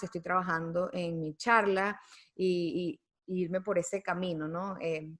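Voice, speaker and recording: A woman's voice: fairly low pitch, a bit bright. A woman guest on a podcast, speaking thoughtfully with many fillers and tag questions. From United States. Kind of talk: reflective conversational interview.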